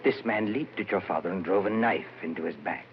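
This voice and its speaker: Undead mad poet, eerie, sorrowful, low pitch, portentous, haunting, vintage radio horror, faint hiss. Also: male voice, vocal fry. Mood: ominous. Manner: slow, portentous, haunting delivery. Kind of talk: 1940s radio horror acting. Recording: muffled, hissy old radio recording.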